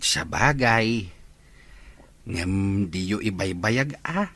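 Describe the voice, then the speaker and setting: Man playing husky, low-voiced gentle father. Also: expressive, slow pace, full character voice. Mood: gentle, grateful. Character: grateful, gentle ailing widowed father wanting grandchildren. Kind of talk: Ilocano radio-style drama acting.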